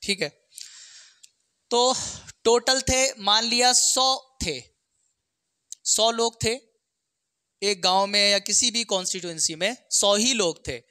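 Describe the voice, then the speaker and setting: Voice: medium pitch, takes it slowly, pauses often, bright, forceful tone, smooth, dry recording, studio quality. A maths teacher explains exam problems step by step, often checking in with short questions.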